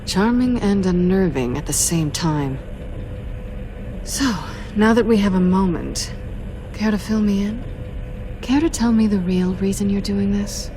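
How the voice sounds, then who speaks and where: A woman's voice: mid-range voice, measured pace, noisy background, close to an everyday voice. A woman playing a cool, self-assured female thief in a video game, with a dry, teasing, guarded way of talking. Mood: sly, probing. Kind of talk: naturalistic video game acting.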